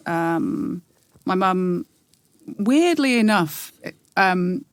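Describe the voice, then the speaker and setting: A woman in her forties, a podcast guest, talking in a reflective, halting way with many pauses and restarts. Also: fairly low pitch, expressive, no echo. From England. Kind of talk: casual interview.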